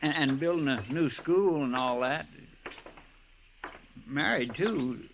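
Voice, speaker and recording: An actor playing an eager, folksy, stammering Old West sidekick in a 1950s radio western. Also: male voice, on the high side, slow, a bit bright, slight background noise, low-quality recording. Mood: chatty, puzzled. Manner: hesitant, stammering, deferential.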